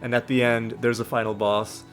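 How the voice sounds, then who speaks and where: A man's voice: mid-range voice, flat delivery, moderate pace. Man with a slightly nasal voice. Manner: rambling, self-correcting explainer. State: recovering from a cold. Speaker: let's play host. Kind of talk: casual gameplay commentary. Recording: faint background music.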